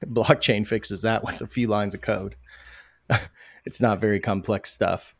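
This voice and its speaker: Male guest, low voice, animated and emphatic, podcast interview, dry close sound. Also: measured pace, creaky, no echo. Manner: animated, emphatic, self-correcting. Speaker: crypto project founder.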